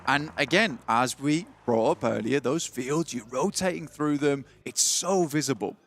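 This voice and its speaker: A man doing color commentary on a live esports match, relaxed and self-deprecating, mixing analysis with jokes. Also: mid-range voice, measured pace. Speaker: esports co-caster.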